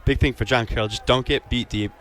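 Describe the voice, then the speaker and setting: A man's voice: mid-range voice, fairly even intonation, fast talker, fairly bright tone. A man giving informal, plain-spoken color analysis during a live radio football broadcast. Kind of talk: live sports analysis, conversational.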